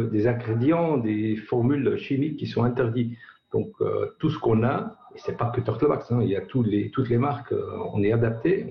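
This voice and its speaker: A man from Belgium with a slight Belgian accent, a radio guest answering in a hesitant, halting way over a remote line. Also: mid-range voice, gravelly. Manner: hesitant, halting, many pauses. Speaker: guest, company sales director. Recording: dull roomy remote line.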